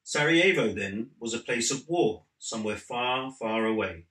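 A man in his forties, an author reading from his own book as measured literary narration in a living room. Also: medium pitch, expressive, fairly bright tone. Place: living room at home, seated in an armchair. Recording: slightly roomy indoor sound.

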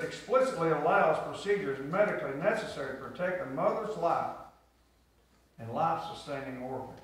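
A middle-aged man, preaching a sermon and drawing answers from the congregation. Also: sits high. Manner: preaching with call-and-response. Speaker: pastor. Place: small church sanctuary. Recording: echoey church from far off.

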